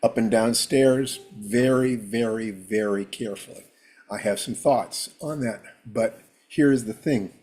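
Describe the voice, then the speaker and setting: A man hosting a live stream from home, talking casually in a rambling way, with a rough, hoarse voice and frequent throat clearing. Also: lowish voice, unhurried, darkish tone, faint music behind.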